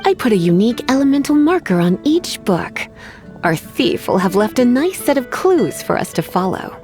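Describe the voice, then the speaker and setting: A woman's voice: on the high side, very animated, normal speed, a little mellow. A woman voicing a teasing, self-assured librarian in a video game, coy and theatrical with a sweetly menacing edge. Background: faint music.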